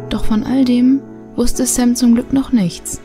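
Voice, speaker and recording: A woman's voice: fairly high pitch, steady tone, unhurried, music in the background, lightly acted. A woman narrating a children's mystery audio drama in a scripted, suspenseful storytelling manner. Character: female storyteller of a children's mystery radio play.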